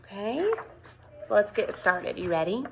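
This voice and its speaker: A woman teacher reading a picture book aloud to young children, expressive and sing-song, coaxing with questions and exclamations. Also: mid-range voice, unhurried, gravelly, a little hiss or hum, poor audio.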